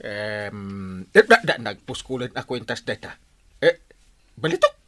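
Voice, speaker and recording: An actor with an Ilocano Filipino accent playing an anxious, excitable village captain in a comedy radio drama, stammering and repeating exclamations. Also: mid-range voice, normal speed. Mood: startled, curious.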